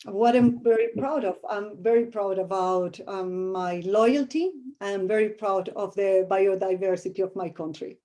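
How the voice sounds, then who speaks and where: A woman's voice: mid-range voice, a little slow, hardly any pauses, dark tone. A middle-aged woman with a Colombian Spanish accent, a professor answering interview questions thoughtfully and searching for words with many fillers.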